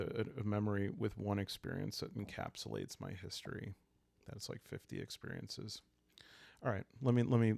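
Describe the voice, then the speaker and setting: A calm, soft-spoken man improvising sleepy ASMR roleplay narration in a halting way as he hosts a solo game. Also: lowish voice, vocal fry, no room sound.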